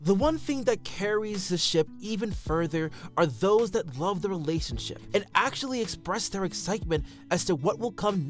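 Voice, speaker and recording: A man reading scripted fan commentary as a voice-over for a video essay, close on the microphone. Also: high voice.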